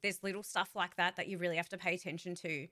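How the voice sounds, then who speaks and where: Blunt, low-pitched woman voice-actor vlogger. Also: steady tone, fast talker, pushed and bright. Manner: candid and blunt, swears freely; rambling with many fillers and restarts. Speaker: voice actor giving career advice. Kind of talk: casual vlog monologue.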